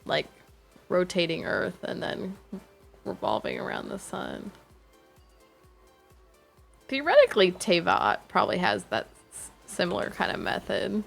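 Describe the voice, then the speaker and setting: A young woman chats casually as she streams a game, her voice gravelly with fry and her pitch swinging around lively. She rambles and stops herself mid-thought while faint game music plays underneath. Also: a little slow, pauses often. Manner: rambling, giggly, self-interrupting. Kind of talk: casual chat while gaming. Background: game audio and music.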